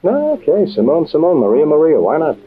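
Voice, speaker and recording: An actor playing a brash, wisecracking American undercover agent in an old-time radio adventure, with a flippant, tough-talking delivery. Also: male voice, fairly high pitch, huge pitch swings, brisk. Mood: brusque, flippant. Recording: tinny, band-limited old radio recording.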